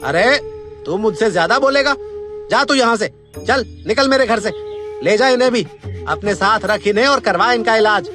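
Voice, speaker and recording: A man's voice: high voice, normal speed, over music, natural voice. A man voicing a callous, irritable grown son in a cartoon, dismissive and scolding. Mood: angry. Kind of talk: Hindi moral-story cartoon dubbing.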